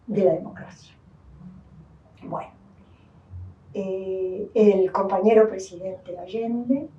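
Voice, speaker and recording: A woman's voice: medium pitch, a little mellow. An older woman with a Uruguayan Spanish accent, giving a reflective personal testimony as an interviewee, thoughtful and full of restarts. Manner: thoughtful, with many restarts and fillers. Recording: distant, dull, roomy sound.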